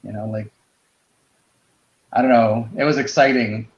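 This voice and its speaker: A man's voice: medium pitch, steady tone, measured pace. A middle-aged man chatting casually and reminiscing in an informal way. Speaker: podcast co-host. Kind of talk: casual chat. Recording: roomy, slightly muffled sound.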